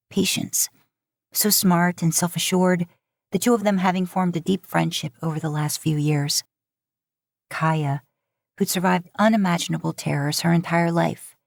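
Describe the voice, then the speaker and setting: A woman's voice: on the low side, vocal fry, no room sound, studio quality. A woman reading a story as a scripted narration. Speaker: podfic narrator. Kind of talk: scripted narration of fan fiction.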